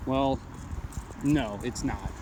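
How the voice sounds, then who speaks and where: A man's voice: medium pitch, drawn-out words, heavy background noise. A man talking casually and off the cuff as he demonstrates radio gear, rambling but blunt and matter-of-fact. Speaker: amateur radio hobbyist reviewing gear. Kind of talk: casual unscripted product demonstration.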